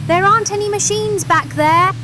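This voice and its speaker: A man voicing a curious, uneasy girl in a horror story. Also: normal speed. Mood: wary. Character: curious, uneasy girl exploring a dark arcade. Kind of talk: horror story character dialogue. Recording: noisy recording with rumbling ambience.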